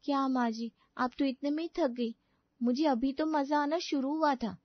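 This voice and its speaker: A woman's voice: high-pitched, fairly even intonation, moderate pace, dry recording, muffled recording, close to an everyday voice. A woman voicing an outspoken young daughter-in-law, teasing and sarcastic, in a cartoon story. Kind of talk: cartoon moral story voice acting.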